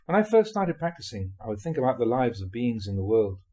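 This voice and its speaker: A man reading translated Buddhist talks aloud as a monk, in an expressive storytelling delivery. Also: mid-range voice, fairly quick, breathy edge.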